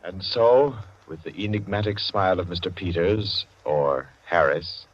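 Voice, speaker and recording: A man's voice: low-pitched, unhurried, soft background music. A male announcer giving a formal, polished 1940s radio sign-off, heard on a dull old broadcast.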